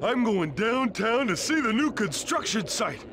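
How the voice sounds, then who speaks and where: A man's voice: sits high, big pitch swings, a little slow, background noise, full character voice. A man voicing a dim, happy-go-lucky pink sea creature in a cartoon game, goofy and carefree.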